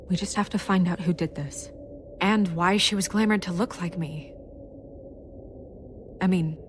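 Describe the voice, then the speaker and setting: A woman's voice: lowish voice, a little hiss or hum. A woman playing a firm, independent fairy-tale official in a video game, earnest and articulate. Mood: troubled.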